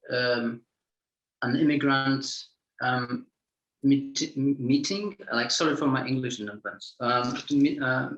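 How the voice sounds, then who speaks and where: A film director answers questions in English, searching for words and circling back through repeated phrases. His voice sits at a middling pitch and carries a roomy echo. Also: a bit bright, highs cut off. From Poland. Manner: halting, many repeated words and restarts. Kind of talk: festival Q&A answer.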